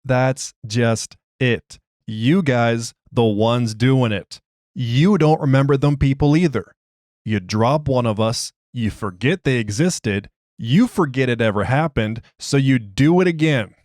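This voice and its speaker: A man's voice: mid-range voice, slow pace, a little mellow, dry recording, studio quality, close to an everyday voice. A man voicing an agitated, streetwise prisoner who argues his case in slangy, ungrammatical, exasperated speech. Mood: urgent, accusing. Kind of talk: dramatized interview log.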